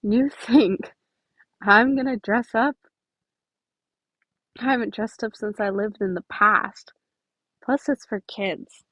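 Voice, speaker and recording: A woman's voice: mid-range voice, slow pace, pauses often, a bit bright, dry recording, low-quality recording. A woman voicing a guarded, blunt teenage boy in a storybook reading, with a curt, sardonic manner. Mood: dry, sardonic.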